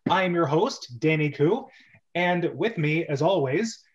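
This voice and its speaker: A man's voice: on the high side, expressive, unhurried. A man hosting a show, mixing theatrical scripted introductions with casual, self-deprecating chat. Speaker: host. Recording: dull, lo-fi microphone sound.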